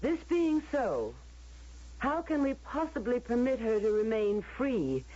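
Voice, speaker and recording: A stern female council leader in an old radio drama. She pronounces slowly and formally, with wide melodic swings and a husky edge. Her calm is merciless. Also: a little high. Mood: solemn, merciless. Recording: dull lo-fi radio drama recording.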